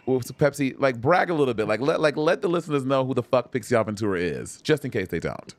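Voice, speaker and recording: A man's voice: mid-range voice, animated, quick pace, no echo. A man hosting a podcast in casual chat, brash and giggly, often cursing. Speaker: podcast host.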